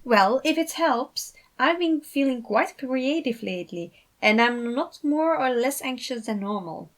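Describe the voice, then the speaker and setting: An earnest young man, played by a woman, caring and encouraging. The delivery is expressive and a little hesitant, in the manner of acted story dialogue. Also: high voice, unhurried, voice pushed up. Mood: reassuring. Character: earnest, caring young man, upbeat but concerned host. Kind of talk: acted dialogue in a fan fiction reading.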